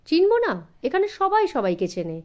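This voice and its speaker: A woman's voice: high-pitched, huge pitch swings, normal speed, a little mellow, voice pushed up. A woman voicing a chatty, obliging male jeep driver in a storybook. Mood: talkative.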